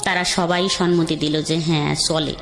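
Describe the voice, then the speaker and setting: A woman talks through her own past in a halting, reflective way, often restarting her sentences. Her voice sits fairly low. Music plays clearly behind her. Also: little pitch movement. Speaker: caller sharing her life story. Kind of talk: personal life story told to a radio host. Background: soft background music.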